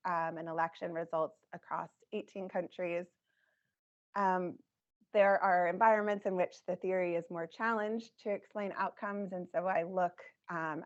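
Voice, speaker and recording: A woman presenting her research in a measured academic talk, her voice scratchy, with frequent ums. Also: lowish voice. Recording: dull lo-fi recording.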